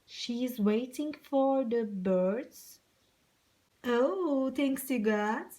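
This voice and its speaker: A woman storyteller teaching young children, telling a simple story in short, deliberate sentences and asking questions. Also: a little high, animated, mellow.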